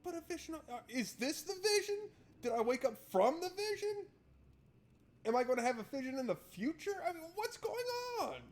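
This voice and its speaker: A man's voice: very high-pitched, a little hiss or hum. A man doing a playful, wry let's play, switching between reading game text aloud and joking commentary. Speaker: gaming streamer.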